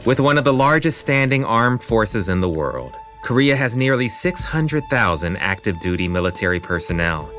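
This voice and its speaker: An actor playing the storyteller, giving scripted dramatic narration for a romantic drama podcast. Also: male voice, sits low, fairly bright tone.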